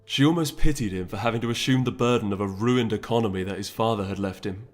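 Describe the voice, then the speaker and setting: A man's voice: lowish voice, fast talker. A man narrating fantasy fiction from a script, as an audiobook reader. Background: music.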